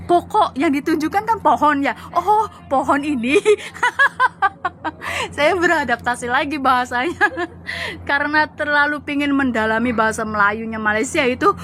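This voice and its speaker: A young Javanese woman recounting her years as a domestic worker abroad in a lively casual chat, laughing often. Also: sits high, a little slow, slight background noise. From Java, Indonesia. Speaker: former migrant domestic worker.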